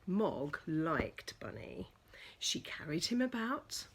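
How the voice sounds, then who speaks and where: A woman reading a children's picture book aloud as a storyteller, with deliberate, dramatic emphasis for young listeners. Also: lowish voice, very animated, slightly rough, in a whisper, low-quality recording.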